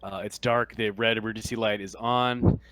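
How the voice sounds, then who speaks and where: A man acting as game master, narrating a tabletop roleplaying game in a casual, halting way. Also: on the low side, fairly even intonation, a bit bright.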